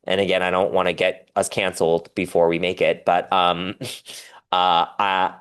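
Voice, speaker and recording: A man talking casually and candidly as a podcast guest, animated and rambling, with self-deprecating humor. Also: deep voice, a little slow, clear, resonant tone. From diaspora Korean, raised in the West.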